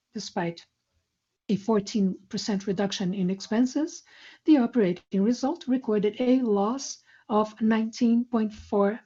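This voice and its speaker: Relaxed, mellow woman presenting on lo-fi audio. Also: on the high side. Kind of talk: scripted corporate financial presentation. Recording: muffled, lo-fi conference call audio.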